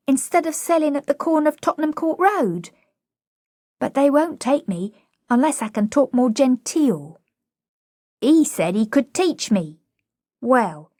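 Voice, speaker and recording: A woman's voice: sits high, no room sound, studio quality, pitched above the performer's own voice. A woman voicing a proud, indignant young Cockney flower girl, saucy and whining, in a dramatic play reading.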